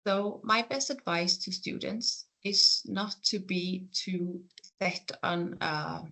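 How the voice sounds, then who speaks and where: Faroese-accented speaker, gravelly edge. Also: mid-range voice, slow pace, over music. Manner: earnest, reflective, gently encouraging. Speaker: programme coordinator and PhD researcher. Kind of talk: career profile interview answers.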